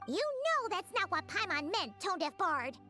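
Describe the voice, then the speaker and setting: A woman voicing a small, childlike, perky and cheeky fairy companion in a video game, with a squeaky voice. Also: sing-song, measured pace, bright, forceful tone, soft background music, exaggerated voice. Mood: annoyed. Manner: exclamatory, refers to self in third person.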